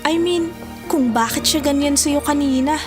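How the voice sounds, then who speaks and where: A high, girlish voice from an actress plays a caring young Filipina student in an audio drama. She talks expressively and conversationally, a loyal friend, with music underneath. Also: moderate pace, natural voice. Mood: reassuring. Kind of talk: Tagalog audio drama acting.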